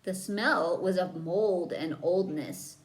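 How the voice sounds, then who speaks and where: A woman reading a children's book aloud as a storyteller, with dramatic emphasis and drawn-out key words. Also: fairly low pitch, rough recording. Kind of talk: expressive read-aloud narration for children.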